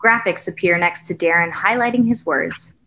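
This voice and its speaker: A woman's voice: fairly low pitch, moderate pace, bright, forceful tone. A young woman reading screenplay directions as scripted narration over a video call. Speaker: stage direction reader. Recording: noisy room video call.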